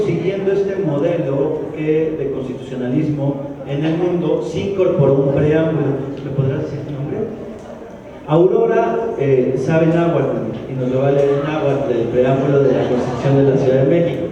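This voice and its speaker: A man's voice: fairly high pitch, moderate pace, a crowd in the background. Mexico City man lecturing in echoey hall. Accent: Mexico City Spanish. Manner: conversational, joking asides, frequent restarts. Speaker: lecturer at a public forum. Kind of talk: public lecture to a live audience. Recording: echoey hall from far off, dull. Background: audience in a large hall.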